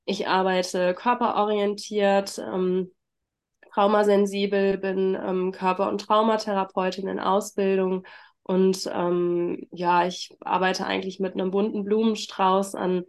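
A woman's voice: medium pitch, flat delivery, unhurried. Woman with a heavy, congested cold. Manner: gentle, informal, inviting. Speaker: trauma-sensitive yoga teacher and facilitator. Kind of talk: workshop introduction and guided mindfulness.

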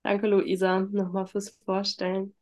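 A woman with a congested voice from a heavy cold, speaking gently and informally as a yoga teacher leading a workshop. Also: medium pitch, completely flat delivery, measured pace. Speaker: trauma-sensitive yoga teacher and facilitator. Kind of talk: workshop introduction and guided mindfulness.

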